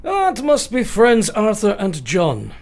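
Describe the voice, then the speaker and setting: Male actor as grave older professor, highish creaky voice, solemn old-fashioned delivery for radio drama. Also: huge pitch swings, takes it slowly, darkish tone, heavily acted. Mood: fond, reflective.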